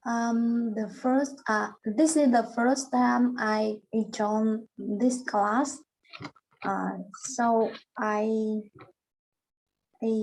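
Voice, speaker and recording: A woman student answers very slowly and hesitantly on a video call, sounding apologetic. Her voice is a little high, with faint noise behind it. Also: a little mellow, poor audio. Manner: hesitant, apologetic, many fillers.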